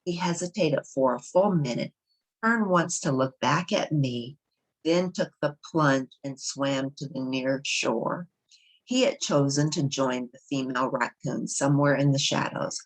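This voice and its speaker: Low, husky woman reading a story aloud. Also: a little slow, poor audio. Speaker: storybook reader. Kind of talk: read-aloud narration of a children's novel.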